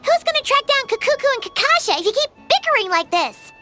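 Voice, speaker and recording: A woman voicing a tiny, bubbly, easily indignant girl companion in a video game, with a squeaky childlike voice and exclamatory delivery. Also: sing-song, fast. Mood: fretful. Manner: refers to herself by name, exclamatory. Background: game background music.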